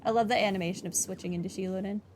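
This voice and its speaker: A woman's voice: medium pitch, fairly even intonation, very fast, noisy background. A woman giving casual playthrough commentary, musing to herself in an informal way. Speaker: gaming playthrough host.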